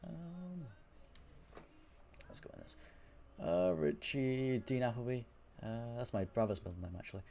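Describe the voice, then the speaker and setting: A young man from Wales with a Welsh accent softened by English, chatting casually on a live stream, rambling, swearing and answering chat. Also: medium pitch, animated, measured pace, pauses often, a little hiss or hum. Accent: Welsh with English influence.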